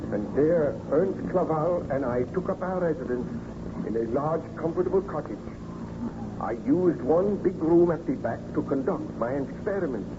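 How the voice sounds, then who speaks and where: An actor playing a tormented, guilt-ridden scientist in an old-time radio drama, melodramatic and formal. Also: male voice, on the high side. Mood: grave, confiding. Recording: muffled, noisy old radio recording.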